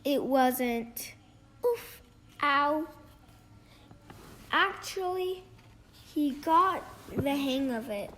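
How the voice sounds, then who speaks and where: Female storybook reader, very high voice, slow and halting, rereads phrases as she goes. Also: lively intonation, fairly bright tone, a little hiss or hum. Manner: halting, stumbles over words and rereads phrases. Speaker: reader of a children's picture book. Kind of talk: storybook read-aloud.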